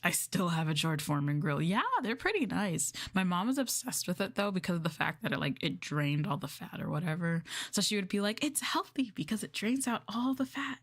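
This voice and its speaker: A woman hosting a casual livestream, chatting to her viewers in an expressive, giggly manner with drawn-out exclamations. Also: lowish voice. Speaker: livestream host reading scripts to chat.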